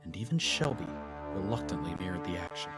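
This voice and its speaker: A man giving measured, scripted narration for an audio drama. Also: deep voice, animated. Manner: measured, storytelling. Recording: drama playback with music.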